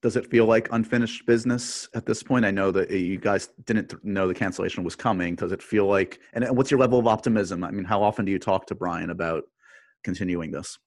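A man's voice: deep voice, steady tone, brisk. A man hosting a podcast, asking a guest questions in an upbeat, chatty way. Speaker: podcast host. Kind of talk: interviewing a guest.

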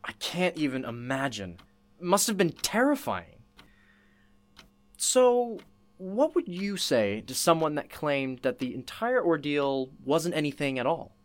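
An actor playing an inquisitive interviewer in a radio play, sympathetic but probing. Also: male voice, a little high, big pitch swings, unhurried.

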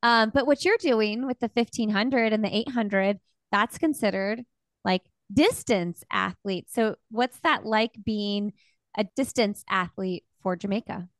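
A woman podcast host asking interview questions in a brisk, encouraging way. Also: mid-range voice, dry recording.

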